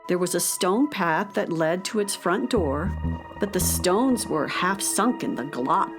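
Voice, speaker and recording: A woman's voice: on the low side, lively intonation, unhurried, over music. A woman narrating a scripted children's story as the narrator of an audio drama.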